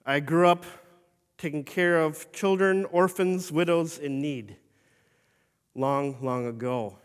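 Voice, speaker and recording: A man playing the saint as an elderly, humble but stern bishop, telling his own story slowly and formally in a church monologue. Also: on the high side, clear, resonant tone, long reverb tail. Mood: solemn. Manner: slow, solemn storytelling with formal phrasing. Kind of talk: first-person dramatic monologue.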